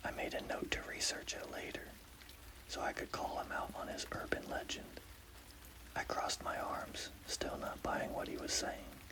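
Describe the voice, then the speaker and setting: A man narrating a scary story as whispered ASMR, in a hushed, deliberate, breathy voice with a gravelly creak. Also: rough recording.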